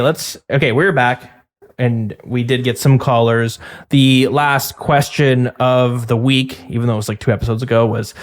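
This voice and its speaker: A man hosting a podcast in casual chat, his voice a bit hoarse from getting sick, rambling with many fillers and restarts. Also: mid-range voice. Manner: rambling, filler-heavy, self-interrupting. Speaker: podcast host.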